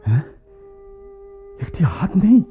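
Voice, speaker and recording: A man's voice: a little slow, natural voice. An actor playing a distraught, pleading young man in theatrical radio drama style, with stammered repetitions. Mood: shocked, urgent. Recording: tinny, phone-like old radio drama recording, music.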